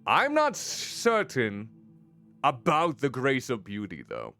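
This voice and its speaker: A man streaming a story game as a VTuber, chatting with his viewers in a playful, teasing way with a deep voice. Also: huge pitch swings, slow, bright, forceful tone, faint music behind. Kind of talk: casual gameplay commentary.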